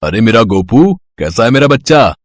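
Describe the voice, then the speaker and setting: A man's voice: mid-range voice, big pitch swings, moderate pace, no room sound, studio quality. A man playing a doting, patient father of a small boy in a moral story. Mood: affectionate. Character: affectionate father who dodges his son's questions.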